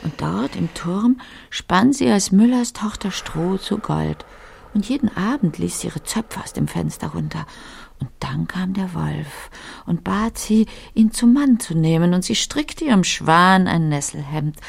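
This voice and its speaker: Low, slow, brooding woman. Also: expressive, vocal fry, slightly rough, full character voice. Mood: storytelling. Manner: musing in fragments, poetic, hushed at times. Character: brooding, wistful woman translator, long married, lost in words. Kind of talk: literary radio play monologue.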